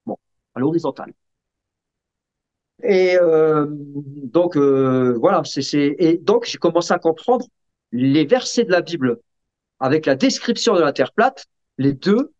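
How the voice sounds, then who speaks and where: A man being interviewed, explaining casually in a halting way and often checking that the listener follows. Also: high voice. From Sicilian family background. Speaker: interview guest. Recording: dull, muffled lo-fi sound.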